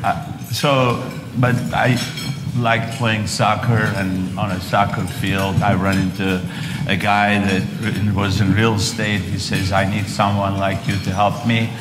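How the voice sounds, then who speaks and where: A man's voice: lowish voice, level tone, slow, over loud music. A man in his sixties with a Turkish accent, telling his story as an onstage guest with many fillers and restarts. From Istanbul, Turkey. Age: 60s. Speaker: guest speaker, real estate developer. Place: large hotel ballroom luncheon on stage. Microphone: lapel mic. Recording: echoey ballroom with long reverb, loud dish clatter. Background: clinking cutlery and dishes.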